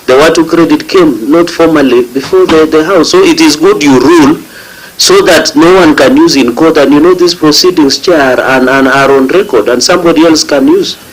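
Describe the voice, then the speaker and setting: A man's voice: on the high side, normal speed, low-quality recording. A man, a senator arguing a procedural point, insistent and talking over the chair. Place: parliament committee room.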